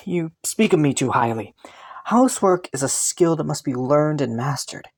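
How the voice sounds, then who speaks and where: A woman voicing a kind, playful young prince in an intimate roleplay drama, speaking politely with hesitant rambles and gentle teasing. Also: low-pitched, animated, moderate pace. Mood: amused, teasing. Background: faint music.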